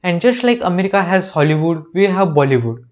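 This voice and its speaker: A man's voice: high-pitched, lively intonation, fairly quick. A young man with an Indian accent, recapping a TV show with sarcastic, jokey asides. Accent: Indian English. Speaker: reaction video host. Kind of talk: comedic recap narration.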